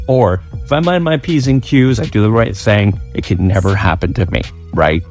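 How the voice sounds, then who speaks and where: A man's voice: lowish voice, normal speed, darkish tone. A man earnestly arguing a point in an interview clip, asking rhetorical questions. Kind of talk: interview answer. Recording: clip with music beneath.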